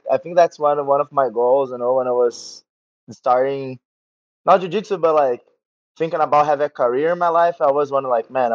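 A man in his twenties from Brazil with a Portuguese accent, a podcast guest who is sick with a sore throat, speaking in halting English with fillers. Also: mid-range voice, dull audio. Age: 20s. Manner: halting non-native English with filler words. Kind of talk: casual interview chat.

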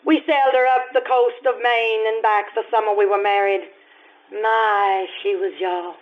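A proud young society woman, played by an actress in an old-time radio drama. She is theatrical, imperious and quick to flare. The sound is narrow and tinny, like an old telephone line. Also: mid-range voice, steady tone, natural voice. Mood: wistful. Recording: tinny band-limited live stage recording.

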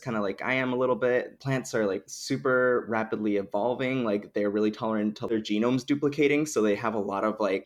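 A young man from the Dominican Republic, a podcast guest chatting casually and explaining eagerly, with plenty of "like" as filler. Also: medium pitch. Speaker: podcast guest, plant scientist. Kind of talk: casual interview chat.

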